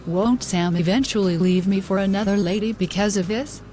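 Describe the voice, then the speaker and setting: A woman's voice: mid-range voice, normal speed, mellow, a little hiss or hum, close to an everyday voice. Woman acting a distraught, guilt-ridden young woman. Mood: self-loathing, anguished. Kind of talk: scripted moral-story drama.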